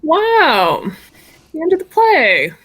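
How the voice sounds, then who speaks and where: A woman's voice: sits high, huge pitch swings, slow, fairly bright tone, rough recording. A woman from a theatre team chatting casually on a livestream. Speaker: member of livestreamed theatre production.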